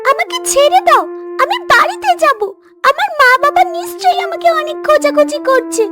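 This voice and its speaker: Tiny, squeaky-high pleading duckling. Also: female voice, expressive, fairly quick. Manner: pleading, plaintive. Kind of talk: cartoon dialogue. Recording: music behind the voice.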